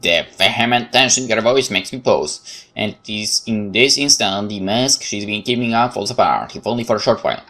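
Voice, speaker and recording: A man hosting a let's play, reading narration aloud in a measured, dramatic way with casual commentary. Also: fairly low pitch, a bit bright.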